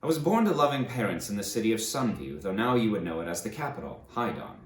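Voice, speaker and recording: A very low-voiced aged conqueror, acted by a man, full of guilt and self-loathing. He delivers his confession like a dramatic audiobook, solemn and deliberate. The sound rings with noticeable room echo. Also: very animated, a little hiss or hum. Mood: reflective. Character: aged fallen tyrant conqueror, remorseful, self-loathing, grandiose.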